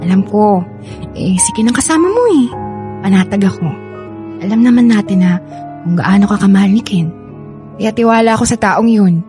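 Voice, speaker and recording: A woman's voice: mid-range voice, brisk, pauses often, music in the background, close to an everyday voice. A woman playing a sassy, picky single best friend in a radio drama, slangy and dramatic, with a Filipino accent. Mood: sincere, trusting.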